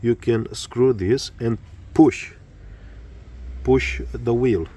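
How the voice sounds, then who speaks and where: Slow hesitant man, Romanian accent. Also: medium pitch, mellow, breathy edge. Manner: halting, many fillers, searching for words. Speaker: motorcycle tutorial maker. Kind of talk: hesitant spoken tutorial.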